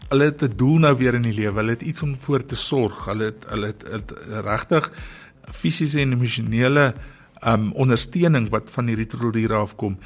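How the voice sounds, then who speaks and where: A man's voice: medium pitch, a little fast. Earnest man on radio. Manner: earnest, repeats words while thinking. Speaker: studio guest. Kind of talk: radio interview.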